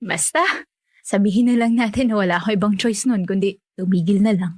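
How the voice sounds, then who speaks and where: A woman's voice: medium pitch, fairly quick, a little mellow, dry recording, studio quality, natural voice. A young woman playing a resigned, dutiful family caretaker in natural radio drama dialogue, self-effacing and accommodating.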